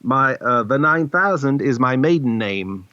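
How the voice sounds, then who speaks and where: A man's voice: mid-range voice, lively intonation, measured pace, bright, forceful tone, no echo, muffled recording. A man playing a matter-of-fact female spaceship computer who recites things formally, in a comedy radio play. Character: female ship computer co-host, matter-of-fact life-pod AI.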